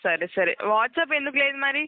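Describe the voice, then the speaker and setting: A woman's voice: sits high, expressive, normal speed, no echo. A woman working as a customer care agent, giving step-by-step instructions over the phone, often repeating herself and checking that she is understood. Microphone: telephone call. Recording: narrow, tinny phone line.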